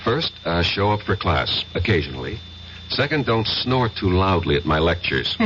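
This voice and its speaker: A man's voice: low voice, sing-song, fairly bright tone. A man playing a hesitant, polite history professor with a haunted air in a vintage radio mystery. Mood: dry, instructing. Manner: halting, stammering, polite. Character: flustered, hesitant male American history professor, quietly haunted. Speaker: radio drama actor. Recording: muffled, hissy old radio recording.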